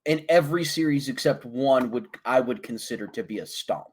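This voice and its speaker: A man hosting a casual esports podcast, talking in rambling, halting sentences with many restarts. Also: medium pitch, breathy edge. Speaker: host.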